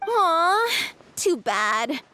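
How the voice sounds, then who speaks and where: A woman's voice: very high voice, sing-song, very slow, pushed and bright, slight background noise, full character voice. A woman voicing an upbeat, spirited adventuring companion in an anime game. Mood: teasing.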